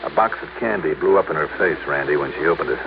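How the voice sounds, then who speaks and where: An actor playing a brisk, hardboiled insurance investigator in a 1950s radio detective drama, with a clipped, guarded manner. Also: male voice, a bass voice, animated, gravelly, heavily acted. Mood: calm, guarded. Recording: muffled, noisy old-time radio recording.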